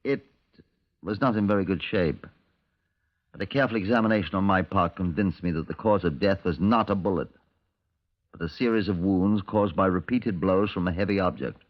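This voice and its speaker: Actor as a brusque, deep-voiced chief inspector. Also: male voice, normal speed, natural voice. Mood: dry narration. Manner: brusque, dry, sarcastic. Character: brusque, sardonic Scotland Yard chief inspector. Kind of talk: 1950s radio crime drama acting. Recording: dull, lo-fi 1950s radio recording.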